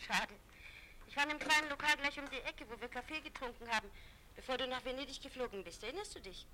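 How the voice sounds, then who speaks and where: An actress in a radio drama playing a friendly woman who speaks in a gently coaxing manner. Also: high voice, fast talker. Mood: friendly, apologetic. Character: friendly, coaxing divorced woman phoning a close acquaintance, Eve. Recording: roomy, echoing sound.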